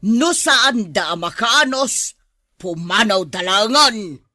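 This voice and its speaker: A haughty, middle-aged rich woman of radio drama, played by an actress, stingy and scornful. Her voice is piercingly sharp and swings widely in pitch. She speaks slowly, as one used to giving orders. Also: lively intonation, no room sound, clean studio sound. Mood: stern, scolding. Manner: scornful, imperious. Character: haughty, stingy rich mistress and stern mother. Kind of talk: Ilocano radio drama acting.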